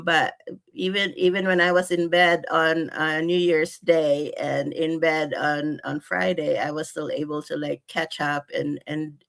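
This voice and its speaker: A woman in her forties, originally from the Philippines, talks as a guest in a relaxed chat. Her voice sits low, and she fills her talk with ums and quick laughs. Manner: chatty, laughs often, many fillers. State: unwell. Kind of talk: casual chat.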